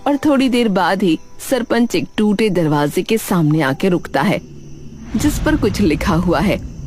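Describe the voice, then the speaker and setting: A woman narrating a scripted story in Hindi storytelling fashion. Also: fairly low pitch. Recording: loud background music. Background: music.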